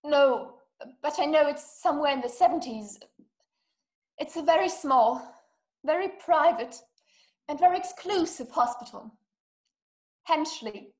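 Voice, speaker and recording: A woman's voice: high voice, lively intonation, unhurried, long pauses, darkish tone. A young woman playing an anxious, self-pitying, imperious invalid wife in a drama performed over video call. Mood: anxious, entitled. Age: young adult. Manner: agitated, imperious, complaining. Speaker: actress. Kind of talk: stage acting in a radio-play adaptation. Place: small room indoors. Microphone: webcam video call. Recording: roomy laptop video-call sound.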